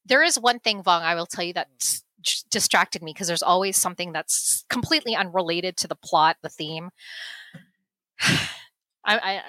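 Chatty, bright-voiced mother reviewing a movie. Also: on the low side, moderate pace, dry recording, poor audio. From United States. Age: adult parent. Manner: chatty, laughing, many restarts. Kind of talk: casual movie chat.

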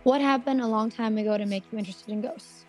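Woman playing a blunt, high-voiced, impatient sister. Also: fast talker, darkish tone, faint music behind, lightly acted. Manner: offhand, blunt, distracted. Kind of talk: naturalistic audio drama dialogue.

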